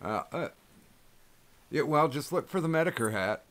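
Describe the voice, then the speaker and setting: A man hosting a casual chat, loud and profane, with rambling restarts. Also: a little high, animated, normal speed. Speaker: host.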